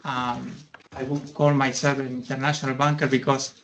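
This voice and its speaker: A man's voice: mid-range voice, level tone, moderate pace, a bit bright, slight background noise. A man in his fifties from Argentina with an Argentine Spanish accent, a community loan fund head giving an anecdotal presentation to a board meeting.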